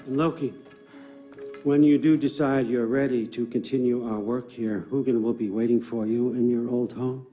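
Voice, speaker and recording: A man's voice: medium pitch, fairly even intonation, normal speed, dark tone, lightly acted. Guy acting old norse king of the gods, folksy but bossy, controlling, game cutscene with music behind. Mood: measured, probing. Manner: folksy, controlling, shifts from coaxing to commanding.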